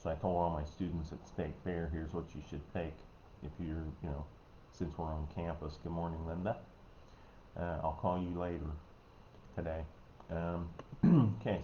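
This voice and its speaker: A man with a Missouri American accent, giving a casual health talk online in a folksy, rambling way, often clearing his throat. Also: deep voice, warm tone. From Missouri, United States. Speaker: host, health educator. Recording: dull, roomy, noisy home recording.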